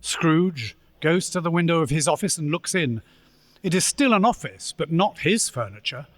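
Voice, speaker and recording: A man narrating a ghostly Christmas radio play as its storyteller, in a theatrical, deliberate manner. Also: fairly high pitch, animated, dry recording, natural voice. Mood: suspenseful.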